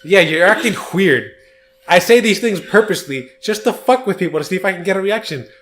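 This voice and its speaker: Chatty young man with a higher voice. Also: a little fast, slight background noise. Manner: animated, rambling storyteller. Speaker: podcast co-host. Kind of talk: casual chat.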